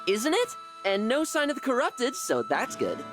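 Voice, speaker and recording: A man's voice: extremely high pitch, huge pitch swings, moderate pace, music in the background, exaggerated voice. A man playing a friendly, kindhearted young prince in a video game, chatty, polite and upbeat in manner. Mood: cheerful, friendly. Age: young adult. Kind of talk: scripted video game voice acting.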